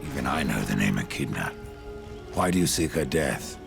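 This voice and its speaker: A grim game reaper with an extremely low, heavily gravelled voice. He is sardonic and curt, his dry lines swinging in pitch, with music behind. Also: normal speed, full character voice. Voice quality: very deep gravelly rasp. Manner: dry, terse, deadpan. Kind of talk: video game cutscene acting.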